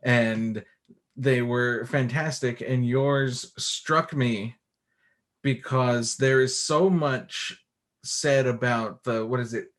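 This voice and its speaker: A man's voice: medium pitch, takes it slowly. A man with an ordinary mid-range voice interviews a guest. He talks casually, circling around his questions before landing on them. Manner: casual and warm, rambling questions.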